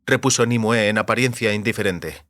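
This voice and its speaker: A man's voice: on the low side, a little fast, fairly bright tone, no echo, clean studio sound. A man reading scripted narration for a fantasy audiobook.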